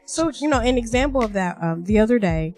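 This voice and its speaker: A woman's voice: fairly high pitch, animated, brisk, darkish tone. An American woman with a slightly congested voice, chatting casually as a podcast co-host and telling things anecdotally. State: mildly congested. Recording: faint background music. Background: background music.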